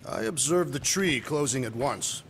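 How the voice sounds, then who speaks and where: An actor playing a big alien warrior in a video game, formal, literal and deadpan. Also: male voice, medium pitch, a little slow, slight background noise.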